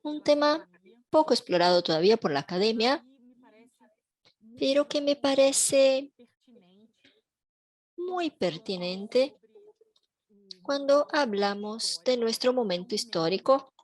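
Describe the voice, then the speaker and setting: A woman interpreting a panel talk in a measured, even way over a video call. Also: a little high. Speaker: simultaneous interpreter.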